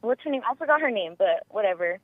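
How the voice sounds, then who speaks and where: A woman with a squeaky voice calling in by phone, chatting casually in a rambling way full of filler words. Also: expressive, fast talker, no echo. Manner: rambling, frequent "like" and "you know". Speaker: caller. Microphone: phone call. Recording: muffled lo-fi telephone line.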